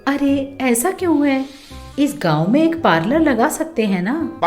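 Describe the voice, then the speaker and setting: A woman's voice: sits high, sing-song, unhurried, darkish tone. A woman voicing a bold, determined young city-bred wife in a storybook tale, direct and self-assured. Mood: curious. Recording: music bed under the voice.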